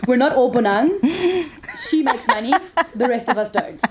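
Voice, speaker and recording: A woman's voice: a little high, moderate pace, noisy background. A woman, a TV presenter answering interview questions frankly, with laughing asides. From South Africa.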